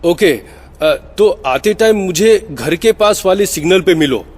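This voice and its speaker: An actor playing an anxious, exasperated man who tells his eerie story in a horror audio drama, halting and repeating words for suspense. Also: sits high, expressive, husky, exaggerated voice. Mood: casual, concerned. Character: anxious, exasperated male flat owner recounting eerie events. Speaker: narrator and lead of a horror story.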